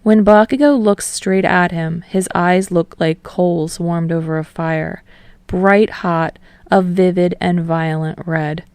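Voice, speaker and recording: A woman's voice: fairly low pitch, fairly even intonation, takes it slowly, a little mellow, smooth, no room sound. A woman reading a story aloud as scripted audiobook narration. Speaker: audiobook reader of fan fiction.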